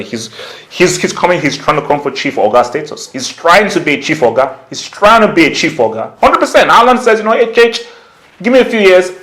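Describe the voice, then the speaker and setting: A man's voice: medium pitch, fairly bright tone. A man giving casual, opinionated football commentary as a vlog host, slangy and conversational, repeating points for emphasis. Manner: slangy, conversational, emphatic repetition, acts out imagined quotes. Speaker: football YouTuber, channel host.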